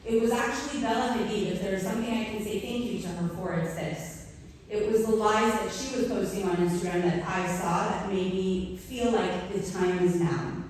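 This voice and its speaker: A woman's voice: mid-range voice, a little mellow, slight background noise. A Canadian woman in her forties giving an earnest, conversational speech to a community audience, with filler words. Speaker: award recipient giving a speech. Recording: echoey hall, voice from far off.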